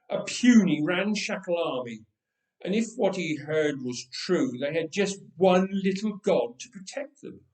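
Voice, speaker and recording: A man narrates a storybook in a roomy, echoing space. He takes his time and swings widely in pitch, keeping the telling dramatic and playful. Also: darkish tone, recorded from a distance. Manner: dramatic, playful, deliberate phrasing. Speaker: storyteller reading a story aloud. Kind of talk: expressive scripted storybook narration. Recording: roomy, echoing room sound.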